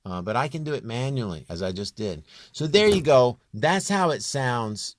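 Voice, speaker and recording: A man with a low, near-bass voice talking through a home music production tutorial in a halting way, thinking aloud as he works. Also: lively intonation, dry recording, clean studio sound. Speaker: home musician demonstrating his vocal production. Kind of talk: informal screen tutorial.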